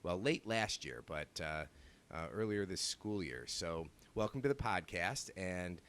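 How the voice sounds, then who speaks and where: Deep-voiced male podcast host. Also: expressive, measured pace, a bit bright. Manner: friendly, with filler hesitations. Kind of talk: podcast intro and interview. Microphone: video call.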